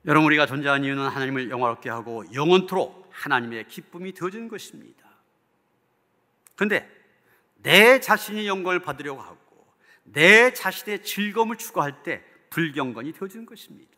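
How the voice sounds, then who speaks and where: A man's voice: on the high side, expressive, fairly quick, bright, forceful tone. A man preaching as a pastor in an earnest, emphatic and rhetorical manner. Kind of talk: sermon preaching, closing in prayer. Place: church hall. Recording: long hall reverb.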